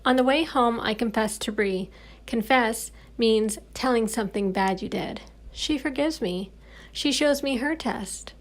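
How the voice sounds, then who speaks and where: Higher-pitched woman reading a children's storybook. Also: unhurried. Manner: animated, clearly enunciated, spells out and explains words. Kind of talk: expressive read-aloud storytelling for young children.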